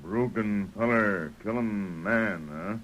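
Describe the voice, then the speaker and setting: Radio Western sidekick: stoic, low-voiced, slow and halting broken English, faintly noisy old recording. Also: male voice, a bit bright, highs cut off. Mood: resolute. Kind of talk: 1930s radio acting.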